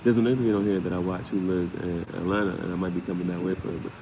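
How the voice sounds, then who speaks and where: Man, low-pitched voice, casual tarot host, slangy, repeats phrases, echoing room, noticeable background noise. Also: racing. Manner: repeats phrases, peppers talk with slang and sound words. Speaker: tarot reader, host of a live reading show. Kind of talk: casual live tarot reading, spoken off the cuff. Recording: roomy long reverb, clear steady background noise.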